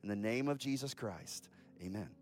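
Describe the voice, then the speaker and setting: A man's voice: lowish voice, moderate pace, darkish tone, faint music behind. A pastor leading his congregation in an earnest, solemn prayer and announcement during a church service.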